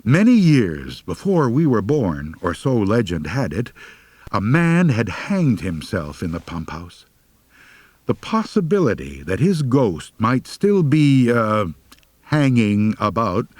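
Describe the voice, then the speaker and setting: Male narrator, lowish pitch, very lively intonation, wry mock-serious humorous storytelling. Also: a little slow, no room sound. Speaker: audiobook narrator. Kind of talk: dramatic humorous first-person storytelling, scripted narration.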